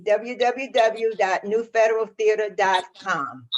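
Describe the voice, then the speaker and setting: A woman's voice: medium pitch, unhurried. Woman giving informal announcements over muffled call. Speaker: theatre staff member. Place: group video call. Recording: muffled call audio, faint noise.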